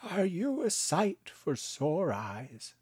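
A man doing a calm frail old grandfather. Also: sits high, slightly rough, dry recording, voice pushed up, exaggerated voice. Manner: slow, gentle, unbothered.

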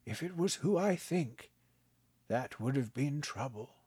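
A man narrating a story, voicing a calm, frail old grandfather who speaks slowly and gently. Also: medium pitch, breathy edge, no echo, pitched above the performer's own voice. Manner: slow, gentle, unbothered.

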